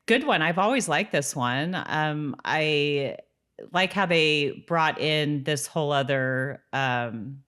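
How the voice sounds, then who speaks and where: A woman's voice: deep voice, slow, a bit bright, clear, resonant tone. A woman co-hosting a podcast, chatting casually and conversationally about a television show. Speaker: podcast co-host.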